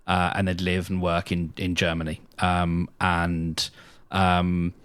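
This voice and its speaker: A man explaining things casually as a podcast co-host, pausing often in a measured way. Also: low voice, completely flat delivery, a bit bright, smooth.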